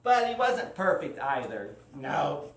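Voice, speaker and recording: A man's voice: fairly high pitch, sing-song, slow. A man hosting a live children's story time, informal and drawing out words for young listeners. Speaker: library storyteller and host. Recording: roomy, distant mic, faint noise.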